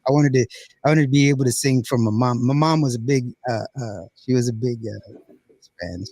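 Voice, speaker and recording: A raspy-voiced man hosting a podcast in a casual, rambling way, often repeating words as he goes. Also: mid-range voice, a little fast, darkish tone, dry recording. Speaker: podcast host. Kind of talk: casual chat.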